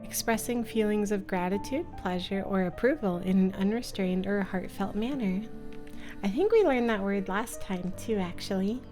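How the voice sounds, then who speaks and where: A woman's voice: mid-range voice, normal speed, a little mellow, soft background music. A woman livestreamer reading a visual novel aloud and chatting casually, with a playful, affectionate manner. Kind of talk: casual stream chat and read-aloud narration.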